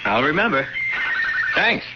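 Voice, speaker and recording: An actor playing an easygoing, bantering young newlywed husband in a vintage radio drama. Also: male voice, fairly high pitch, big pitch swings, measured pace. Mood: polite, flustered. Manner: casual, bantering. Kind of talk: 1970s radio drama acting. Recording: muffled, noisy old radio recording.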